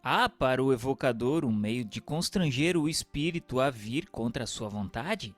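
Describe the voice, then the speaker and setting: A man playing an earnest questioner in a radio drama, putting formal questions in a measured way. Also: medium pitch, expressive, close to an everyday voice. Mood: earnest, inquiring. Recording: music under the voice. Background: background music.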